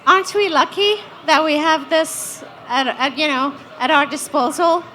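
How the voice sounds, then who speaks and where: A woman's voice: high-pitched, measured pace, pushed and bright, a little hiss or hum. A Lebanese-accented woman from Lebanon leading questions on a live panel, enthusiastic and fond of tag questions. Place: stage at a festival panel.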